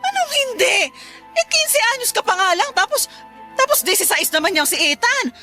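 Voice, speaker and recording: Radio drama role: stern Filipino mom played by a woman, high bright voice, emphatic and exasperated scolding. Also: very animated, brisk, soft background music, heavily acted. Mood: indignant scolding.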